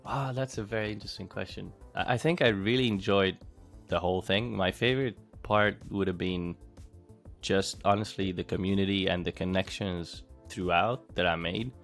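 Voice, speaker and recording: A man's voice: deep voice, moderate pace, vocal fry, fairly bright tone, soft background music. A man from Hungary in his thirties answering interview questions in a casual, thoughtful way, with frequent fillers and restarts. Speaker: interviewee, former bootcamp student.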